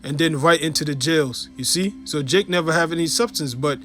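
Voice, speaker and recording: Male preacher, Haitian accent, somewhat high voice, chatty teaching delivery full of fillers. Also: fairly quick, fairly bright tone, soft background music. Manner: conversational, fills with "you know" and "you see". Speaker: religious teacher. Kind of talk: informal preaching video.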